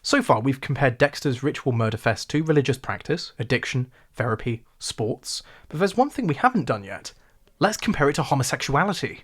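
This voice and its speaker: Male, RP English, wry sarcastic video essayist, medium pitch. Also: breathy edge. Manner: wry, sarcastic, animated delivery. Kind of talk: scripted video essay narration.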